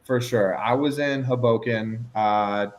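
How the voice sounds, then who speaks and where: A young man chatting casually on a speed date, relaxed and agreeable, often repeating himself. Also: mid-range voice, slow pace. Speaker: dating show lead.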